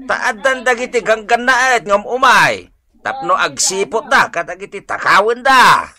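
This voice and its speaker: A man's voice: sits high, expressive, measured pace, pushed and bright, full character voice. A man acting a bossy, exasperated village captain with an Ilocano accent in a comedy radio drama, scolding and authoritative. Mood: officious. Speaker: barangay captain.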